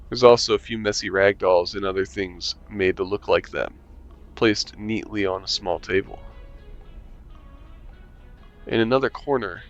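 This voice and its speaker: A man reads a visual novel aloud in a casual let's-play, chuckling and slipping in wry asides. His voice sits on the low side. The sound is a bit muffled, and soft game music runs underneath.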